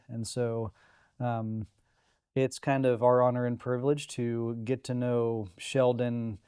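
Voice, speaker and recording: Church podcast host, clear mid voice, chatty and meandering, dry studio sound. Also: male voice, medium pitch, warm tone, no echo. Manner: rambling, many fillers, laughs easily.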